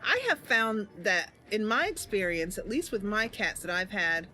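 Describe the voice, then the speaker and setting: A woman's voice: medium pitch, animated, moderate pace, bright, forceful tone, faint music behind, low-quality recording. A woman hosting and narrating a game roleplay, chatting casually while ill and tired. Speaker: channel host and game player.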